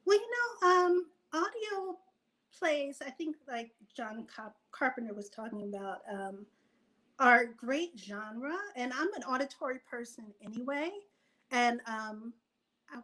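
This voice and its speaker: A woman speaking as a guest writer in a casual interview, conversational and animated, thinking aloud as she goes. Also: fairly high pitch, a little slow, low-quality recording.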